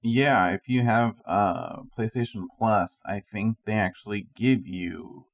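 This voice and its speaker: A man's voice: lowish voice, takes it slowly, creaky, no room sound. A man co-hosting a podcast, chatting casually in a laid-back, informal way. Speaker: podcast co-host. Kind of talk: casual chat.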